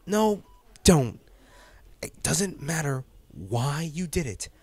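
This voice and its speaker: A man's voice: a little high, big pitch swings, slow, a little mellow, husky, dry recording, full character voice. A man playing an intense, protective male field agent in a dramatic audio roleplay, speaking emotionally and emphatically to his partner. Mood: upset. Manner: emotional, emphatic, addressing the listener directly.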